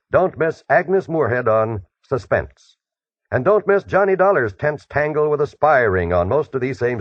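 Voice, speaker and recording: A man's voice: mid-range voice, dry recording. A man with an American accent reading scripted announcements as a mid-century radio announcer. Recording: dull lo-fi vintage radio, dry.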